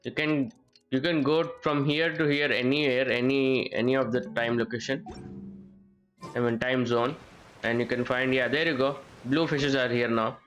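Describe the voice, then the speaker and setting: A man's voice: medium pitch, fairly even intonation, normal speed, a bit bright. A man streams a video game, talking casually as he goes. He rambles and thinks aloud, often repeating his words, with an ordinary mid-range voice. Game music plays behind him. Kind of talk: casual live gameplay commentary. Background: game music and character voices.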